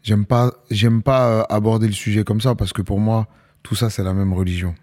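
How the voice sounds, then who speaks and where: A man, a guest rapper answering interview questions casually, often pausing on fillers. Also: fairly low pitch, little pitch movement, darkish tone. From Paris, France. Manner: casual, hesitant, many fillers.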